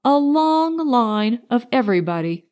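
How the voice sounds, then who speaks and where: A woman acts out a young boy from a children's storybook. He is carefree and sure of himself, taking charge in a high, unhurried voice. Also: sing-song, a little mellow. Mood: carefree, confident. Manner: careless, authoritative. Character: confident, carefree young boy leading an expedition.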